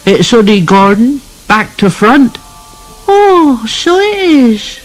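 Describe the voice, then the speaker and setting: A man voicing excited little boys calling out in squeaky voices. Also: slow pace, soft background music, full character voice, low-quality recording.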